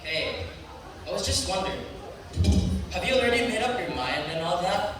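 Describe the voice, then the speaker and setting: A man's voice: high voice, expressive, moderate pace. A teenage boy acting a frustrated, pushy older brother on a school stage, needling and resentful. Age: teens. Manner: needling, drawls when annoyed. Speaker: student actor. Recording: echoey hall, far from the mic, noisy.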